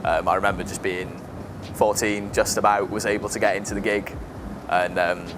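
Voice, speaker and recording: A young man with a lowish voice fondly recalls gigs, pausing now and then as he speaks. He is recorded outdoors on a city street, with traffic and street noise plainly audible. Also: little pitch movement. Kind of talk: interview answer.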